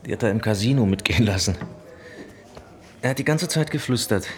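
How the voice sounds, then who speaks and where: A sceptical bartender in a radio play, acted by a man, with a low voice and a dry, questioning manner. A busy crowd is clearly heard around him. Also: animated, brisk, natural voice. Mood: amused, confiding. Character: sceptical, matter-of-fact male hotel bartender. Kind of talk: radio drama acting.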